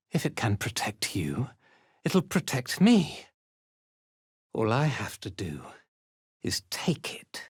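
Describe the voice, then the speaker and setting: Haughty, cruel dark elf commander, swooping delivery. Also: male voice, mid-range voice, husky, no echo, clean studio sound, exaggerated voice. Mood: scheming. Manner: imperious, menacing, deliberate pronouncements. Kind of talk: video game character acting.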